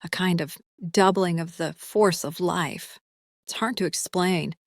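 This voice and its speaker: A woman plays a serene, spiritual mother in an audio drama. Her voice sits a little low, with reflective, measured phrasing and very little room sound. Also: no echo, studio quality. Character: serene, thoughtful pregnant mother, a spiritual adept.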